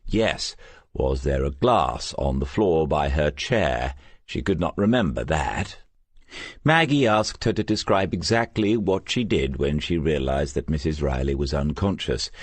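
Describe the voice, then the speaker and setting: A man narrating a crime novel as an audiobook, with measured, scripted storytelling. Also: a bass voice, breathy edge, no room sound.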